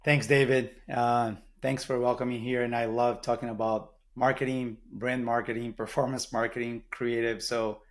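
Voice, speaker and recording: A man's voice: medium pitch, flat delivery, normal speed, few pauses. A middle-aged man from Brazil with a Brazilian Portuguese accent, a guest in an interview, telling his career story in a thoughtful way with frequent restarts. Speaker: guest, marketing executive.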